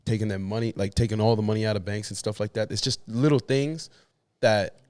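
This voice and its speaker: A man's voice: fairly low pitch, steady tone, fairly quick, darkish tone, dry recording. A man, a podcast guest talking casually and thoughtfully, often restarting and repeating words as he searches for how to put things. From Australia. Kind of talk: casual conversation.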